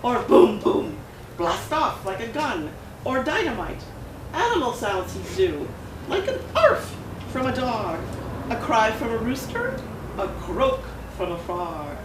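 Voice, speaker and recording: A woman author giving a dramatic, expressive read-aloud of a rhyming picture book at a gallery event. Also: a little high, slow. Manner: expressive rhyming delivery with bouncy emphasis. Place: art gallery event room. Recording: echoey room, distant, noisy.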